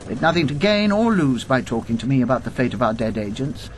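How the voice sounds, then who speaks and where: An actress playing an unsentimental elderly former intelligence officer in a radio drama, with a clipped, formal, imperious manner. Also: female voice, very deep voice, very animated, a little fast, a little hiss or hum. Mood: probing, cool. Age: 70s or older.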